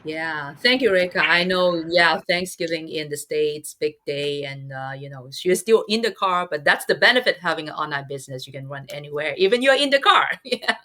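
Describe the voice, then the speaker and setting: Female live-chat host, middle-aged, low pitch, bright forward tone, halting non-native English phrasing. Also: lively intonation. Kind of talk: live online interview chat.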